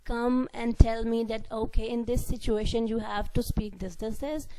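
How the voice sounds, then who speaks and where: Mellow-voiced woman giving a grammar lecture. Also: a little high, fairly even intonation, moderate pace. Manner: explanatory, repeats and rephrases points, reads out example sentences. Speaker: university lecturer. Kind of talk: classroom lecture.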